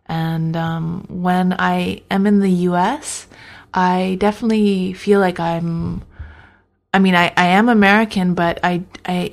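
A woman speaking as a guest in a casual conversation, thoughtful and halting, often restarting her sentences. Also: lowish voice, creaky.

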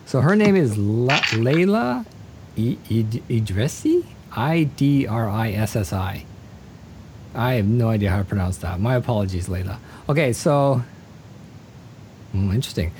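A woman's voice: very deep voice, lively intonation, a little slow, darkish tone, slight background noise. A woman hosting a casual interview, chatting and asking playful questions. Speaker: host.

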